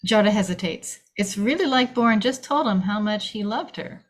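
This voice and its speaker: Woman narrating screenplay action, medium pitch. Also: lively intonation, moderate pace. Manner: clipped, punchy phrasing. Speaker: stage directions reader.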